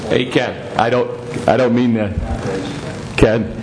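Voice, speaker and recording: A man's voice: medium pitch, steady tone, takes it slowly, a crowd in the background. A man chairing a council meeting as mayor, informal and joking, a bit unsure of procedure. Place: council chamber. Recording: echoey chamber with background noise.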